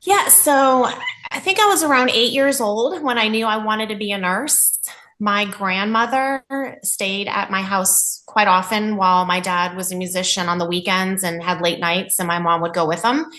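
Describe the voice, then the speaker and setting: A woman from New England, a nurse and podcast guest, telling her life story in an earnest, open way with a loud voice. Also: medium pitch, steady tone, normal speed, poor audio.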